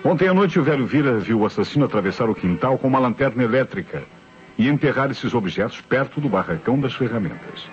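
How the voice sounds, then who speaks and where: Male actor, Brazilian police inspector, authoritative, emphatic, very animated pitch, old radio drama, muffled recording. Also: quick pace, soft background music, lightly acted. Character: commanding, sharp-witted male police inspector solving a murder.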